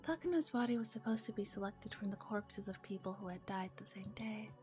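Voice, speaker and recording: A soft-spoken woman streamer reading a visual novel aloud in an ASMR style, often dropping into whispers and adding casual comments. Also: medium pitch, animated, a little fast, soft background music.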